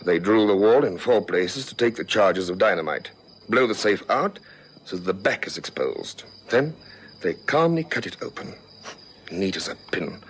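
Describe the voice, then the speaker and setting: Shrewd police boss, lowish expressive voice, old radio drama, bit of background noise. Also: male voice. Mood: explaining, assured. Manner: authoritative, thoughtful, measured. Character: shrewd, methodical senior police detective in command. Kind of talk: 1960s-70s radio drama acting. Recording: noisy vintage radio recording.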